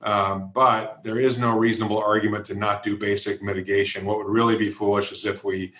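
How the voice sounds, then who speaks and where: Male interview guest, American accent. Fairly deep, level pitch, emphatic plain talk, recorded in an echoey room. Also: little pitch movement, brisk, fairly bright tone. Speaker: interview guest, events company executive. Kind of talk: conversational interview answers.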